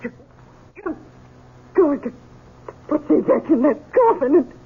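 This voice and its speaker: A woman's voice: high-pitched, sing-song, unhurried, full character voice. An actress playing a terrified elderly mother who pleads through her crying, in a radio drama. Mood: terrified pleading. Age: older. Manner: pleading, sobbing. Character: terrified elderly wealthy mother, buried alive. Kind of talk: 1970s radio drama acting. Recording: tinny lo-fi radio broadcast.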